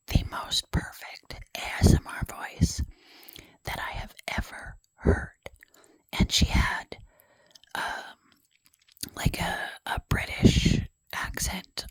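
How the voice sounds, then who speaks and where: A woman tells a long personal story entirely in a soft ASMR whisper, her voice airy and breathy. Her pitch swings up and down a lot as she wanders through tangents with plenty of fillers and self-deprecating asides. The sound is dry and close, with no room around it. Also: no room sound. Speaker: ASMR creator.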